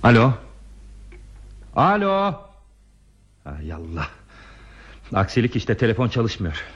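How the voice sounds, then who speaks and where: An actor playing an earnest, anxious railway stationman in a radio play, with a husky voice. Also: mid-range voice, huge pitch swings, slow pace, full character voice. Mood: flustered, alarmed. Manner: earnest, repeats words when flustered. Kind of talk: Turkish radio theatre acting. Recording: muffled old radio drama recording.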